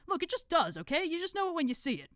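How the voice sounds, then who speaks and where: Storybook know-it-all brother, acted by a woman: colloquial, rambling, lifted in pitch and lively. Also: fast talker, no room sound, studio quality. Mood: irritated. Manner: colloquial, rambling, matter-of-fact. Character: chatty, know-it-all male member of a secret brotherhood.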